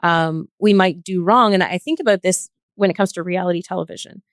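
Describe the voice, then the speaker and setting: A woman's voice: on the low side, a little fast, no echo, studio quality. A woman in a reflective podcast conversation, explaining her views in a thoughtful, earnest way. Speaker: podcast guest.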